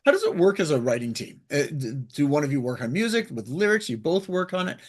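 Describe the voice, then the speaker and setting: A man's voice: fairly high pitch, big pitch swings, fast. A man hosting a casual radio interview, asking questions in a curious, conversational manner. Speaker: radio show host, interviewer.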